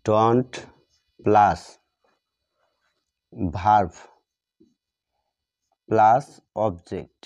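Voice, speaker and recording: A man's voice: on the low side, drawn-out words, long pauses, a little mellow, clear, resonant tone, no room sound, highs cut off. A man with a Bengali accent teaching grammar like a classroom teacher, repeating phrases step by step as if dictating while he writes. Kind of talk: classroom lesson, explaining while writing out examples.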